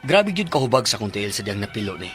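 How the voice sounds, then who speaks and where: A man's voice: fairly low pitch, sing-song, racing, over music. A man with a Cebuano Filipino accent acting a worried, stubborn working husband in a radio drama, speaking colloquially and expressively. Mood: worried, weary.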